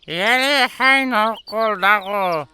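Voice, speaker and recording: A woman voicing a slow-spoken female cartoon character with a clear, bright voice. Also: mid-range voice, slight background noise. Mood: measured. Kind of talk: animated cartoon dubbing.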